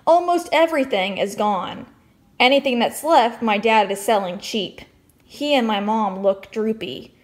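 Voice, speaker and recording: High-pitched woman reading a storybook aloud. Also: a little slow. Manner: expressive, questioning, addressing children. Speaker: teacher reading aloud to fifth graders. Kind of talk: storybook read-aloud with explanatory asides.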